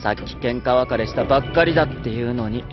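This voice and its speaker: An actor playing a stern, exasperated young military officer in a Japanese anime audio drama. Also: male voice, medium pitch, fast talker, lightly acted. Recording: dull lo-fi audio under music.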